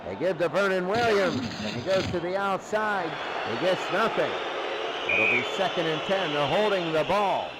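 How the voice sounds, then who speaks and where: A high-voiced man does live play-by-play for a football game with an excitable delivery. He follows each down over a clearly noisy recording. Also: normal speed. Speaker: play-by-play announcer. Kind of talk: live American football commentary.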